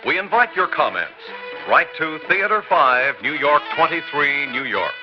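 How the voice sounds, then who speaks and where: A man reading formal credits as a radio announcer, in a crisp, measured way. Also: a little high, big pitch swings. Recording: dull old radio recording with music behind.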